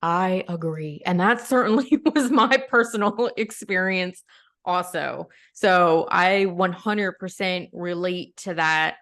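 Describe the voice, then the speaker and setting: A woman's voice: lowish voice, slow, a bit bright. A middle-aged woman, a podcast guest, speaking earnestly and frankly in a casual conversation. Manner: earnest, frank, laughs between points.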